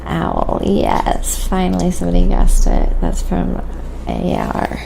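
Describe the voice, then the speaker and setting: A woman with a very creaky, fry-laden voice talks casually to livestream viewers as she works. She takes her time in an unhurried, halting manner, thinking aloud. Clear noise sits behind her voice. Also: lowish voice, huge pitch swings. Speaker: livestream host and painter. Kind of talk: casual livestream chat while painting, reading viewer comments.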